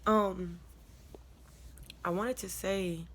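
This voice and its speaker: A young American woman with a deep, low-toned voice tells her personal story to camera in a casual, candid, colloquial and rambling way. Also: fairly even intonation, very slow, fairly bright tone, slight background noise. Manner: candid, colloquial, rambling, chuckles as she talks. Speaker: vlogger sharing a personal testimony.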